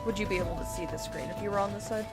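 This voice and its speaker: A woman's voice: medium pitch, very fast. A woman chatting casually while playing a video game on a livestream as co-host. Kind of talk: casual chat while gaming. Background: game music.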